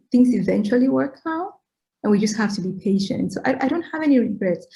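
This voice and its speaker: A woman's voice: medium pitch, mellow, poor audio. A middle-aged woman from Cameroon, answering interview questions in an earnest, reflective way and often checking in with "right?". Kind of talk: conversational Q&A talk, advice-giving.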